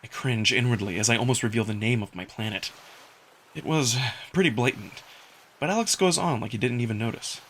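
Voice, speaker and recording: A man narrating a first-person visual novel story in an expressive, dramatic style. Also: medium pitch, a little fast, a little hiss or hum.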